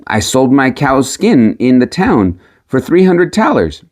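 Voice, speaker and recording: A man voicing a sly peasant trickster in a storybook, with a measured, cunning delivery. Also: mid-range voice, sing-song, darkish tone. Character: sly male peasant trickster selling prophecies.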